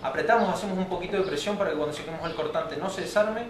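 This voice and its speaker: Fast-talking Argentine chef, roomy sound. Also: male voice, high-pitched, background noise. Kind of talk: cooking demonstration. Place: winery kitchen and open-air grill patio. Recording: roomy, distant mic, music behind.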